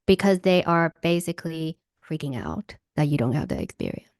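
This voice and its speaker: Woman coach from Hawaii, animated, dry video-call sound. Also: deep voice, a little fast, no echo. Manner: animated, acts out enthusiastic quotes. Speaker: workshop speaker and coach. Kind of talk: answering questions in an online workshop.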